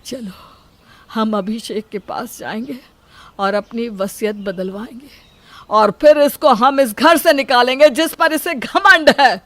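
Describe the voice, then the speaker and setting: A woman's voice: a little high, measured pace, a bit bright, voice pushed up. A woman voicing a cautious elderly father, wounded by his son, with an earnest, admonishing manner for a moral story. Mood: bitter, indignant.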